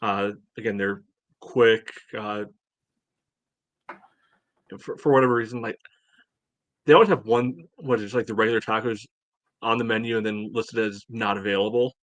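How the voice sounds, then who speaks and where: A man's voice: fairly low pitch, steady tone, normal speed, pauses often, a bit bright, no room sound. A man in his forties chatting casually as a podcast co-host, in a hesitant, rambling way. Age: 40s. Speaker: soccer fan podcast co-host. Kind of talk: casual chat.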